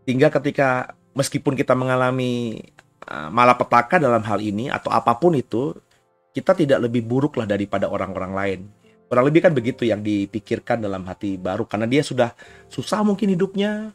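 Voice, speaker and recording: A man preaching a conversational sermon in an informal way, often tagging phrases with short checks, while getting over a cough. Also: medium pitch. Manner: informal, frequent "ya" tags and asides. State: recovering from a cough. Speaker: pastor giving a recorded sermon.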